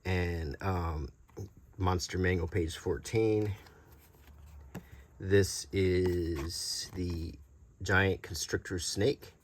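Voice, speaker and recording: A middle-aged man doing a casual, unscripted show-and-tell as a video host, talking in a halting, rambling, conversational way. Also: low-pitched, steady tone, a little hiss or hum. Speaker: YouTube host showing vintage tabletop game monster cards.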